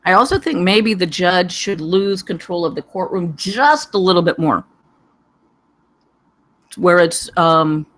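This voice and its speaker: A panelist giving digressive, halting spoken feedback on a script, wandering from point to point. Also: medium pitch, fairly bright tone. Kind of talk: rambling spoken critique.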